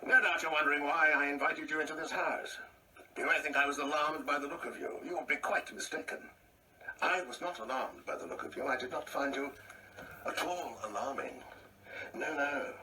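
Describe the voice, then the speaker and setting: An actor playing a pompous, insecure old English husband in an absurdist radio play, irritable, domineering and halting as he repeats his words. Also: mid-range voice, bright, forceful tone, recorded from a distance, a little hiss or hum, low-quality recording. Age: older.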